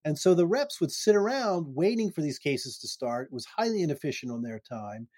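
A man's voice: fairly high pitch, very animated, fairly quick, darkish tone, no room sound, clean studio sound. A middle-aged man hosting an interview in loose, casual chat, often restarting his sentences and laughing briefly. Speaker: podcast host.

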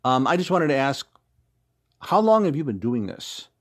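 A man giving casual recorded interview answers as a guest, rambling and expressive, with a joking storyteller's way of talking. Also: medium pitch, normal speed. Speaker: interview guest, audio drama writer and actor.

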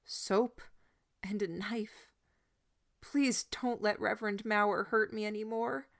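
A woman voicing a desperate teenage boy who shouts and pleads with a crowd. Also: fairly even intonation, slow, vocal fry, full character voice. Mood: pleading. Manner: pleading, shouting, high and loud.

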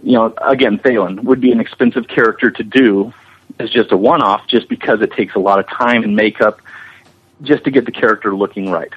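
A man speaking as an interview guest, explaining his animation work with frequent pauses mid-phrase. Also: on the low side, breathy edge. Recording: muffled, lo-fi audio.

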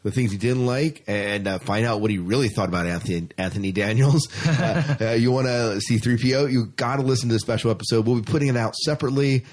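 A man's voice: mid-range voice, quick pace, few pauses, a little mellow. A man hosting a podcast in casual, enthusiastic chat, stressing points and often repeating himself. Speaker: podcast host.

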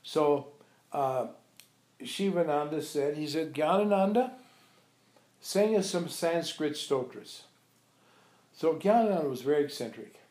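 A man's voice: fairly high pitch, animated, measured pace, pauses often, darkish tone. An older man informally telling anecdotes to a small group, animatedly acting out the dialogue he quotes. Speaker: monk and abbot recalling his teacher. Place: echoey room with a few visitors. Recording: echoey room recording.

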